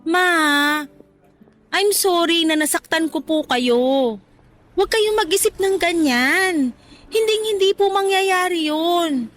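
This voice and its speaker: An actress in a radio drama playing a caring, apologetic young adult who pleads respectfully with a parent. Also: female voice, very high-pitched, slow, a bit bright, soft background music, full character voice. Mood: apologetic, earnest. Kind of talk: Tagalog radio drama acting.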